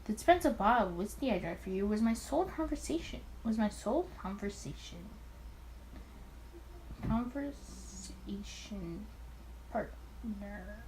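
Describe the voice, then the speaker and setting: A woman voicing a sly, smooth-talking, flirtatious male speakeasy owner in a theatrical drawl, in a visual novel game. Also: medium pitch, animated, a little slow, pauses often, a little mellow, a little hiss or hum, poor audio. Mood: sly, teasing.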